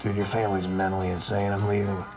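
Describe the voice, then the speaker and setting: A man voicing a blunt, sarcastic, unimpressed young friend in an animated comedy-drama, with casual, sardonic delivery. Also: fairly low pitch, quick pace, faint music behind, close to an everyday voice.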